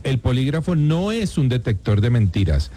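A man's voice: mid-range voice, sing-song, normal speed, dark tone. A man with a Colombian accent talking casually and emphatically on a radio panel, in colloquial speech.

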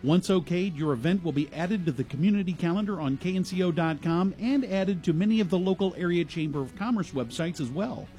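A man's voice: sits high, a little fast, hardly any pauses, mellow, soft background music. A man reading a radio station promo in an upbeat, informative way.

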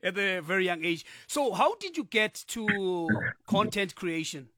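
High, bright-voiced radio host man. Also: expressive, dry recording, clean studio sound. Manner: halting, many filler sounds. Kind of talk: live radio interview.

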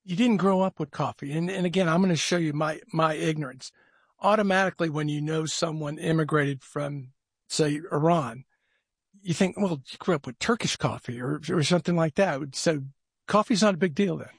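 A man's voice: fairly high pitch, normal speed, gravelly, husky, no echo, studio quality. A man hosting a podcast in a friendly, casual interview style, often repeating words as he starts a sentence. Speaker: podcast host.

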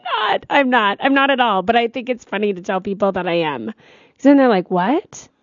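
A woman's voice: fairly high pitch, very animated, moderate pace, no echo, dull audio. A woman in her forties hosting live radio with casual, playful, chatty banter. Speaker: radio host. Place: dry radio studio. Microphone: studio microphone.

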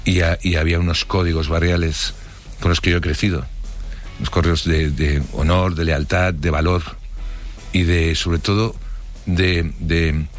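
Low-voiced middle-aged Spanish man reminiscing. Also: fairly even intonation, faint music behind. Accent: Spanish from Spain. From Barcelona, Spain. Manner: colloquial, halting, reflective. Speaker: interviewee telling his life story. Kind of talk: casual spoken recollection.

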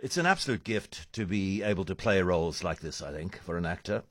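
Male radio host, low voice, rambling and chatty, dry booth. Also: lively intonation, brisk, a bit bright, no echo. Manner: rambling, many restarts and fillers. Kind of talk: conversational arts interview.

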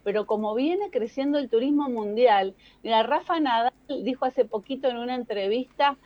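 A woman's voice: on the high side, normal speed, no room sound, lo-fi sound. An Argentine woman giving conversational news commentary, explaining things and addressing listeners directly. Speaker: radio or TV news columnist.

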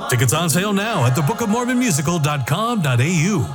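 A man voicing a radio advertisement with a showy, dramatic delivery. His voice is high for a man and swings widely in pitch, with loud music behind him. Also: very animated, fast talker. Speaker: advertisement voice-over. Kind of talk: dramatic ad read.

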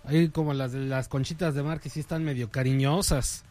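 A man, co-hosting a radio show and cracking quick jokes as the sidekick. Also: mid-range voice, steady tone, a little mellow. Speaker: radio co-host. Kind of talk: joking radio banter.

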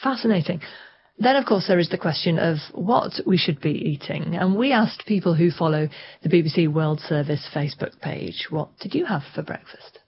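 Brief: woman host, low husky voice with fry, brisk questions. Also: animated. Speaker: radio presenter. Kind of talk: radio interview hosting. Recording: dull lo-fi broadcast sound.

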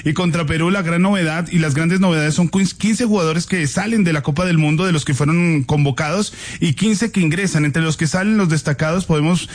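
A man giving the sports news on a radio news show in a brisk, informative way. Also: a little high. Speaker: sports reporter.

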